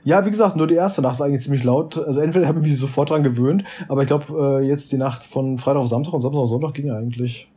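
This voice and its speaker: A man's voice: medium pitch, quick pace, few pauses, warm tone, breathy edge. A young man, chatting casually as a podcast co-host, recorded on his mobile phone.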